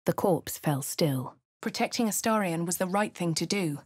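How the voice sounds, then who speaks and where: A posh British adventurer. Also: female voice, lowish voice, lively intonation, normal speed, no echo, clean studio sound. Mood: composed. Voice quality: crisp, well-spoken. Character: posh young adult woman adventurer, refined. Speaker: player character. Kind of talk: game voice lines and narration.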